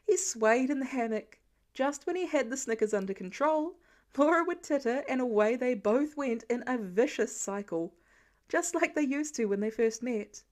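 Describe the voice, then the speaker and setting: A woman reading a story aloud as an expressive audiobook-style narrator of fan fiction. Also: high-pitched, moderate pace.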